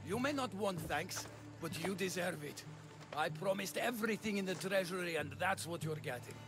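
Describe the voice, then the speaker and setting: An actor playing a forthright, embattled town leader and fighter in a video game, speaking emphatically. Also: male voice, high voice, lively intonation, normal speed, music in the background. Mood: grateful. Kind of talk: video game cutscene acting.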